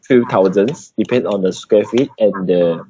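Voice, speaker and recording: A man with a Malaysian accent giving an update in an informal work meeting on a video call, in halting non-native English. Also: fairly low pitch, mellow. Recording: dull, noisy video call with clicks.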